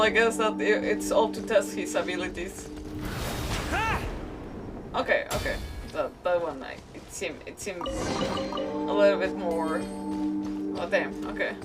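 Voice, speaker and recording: A woman's voice: medium pitch, slow pace, long pauses. A woman doing casual live gameplay commentary as a streamer, thinking aloud with mild swearing. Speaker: game streamer. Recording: distant mic, loud game music. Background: loud game music.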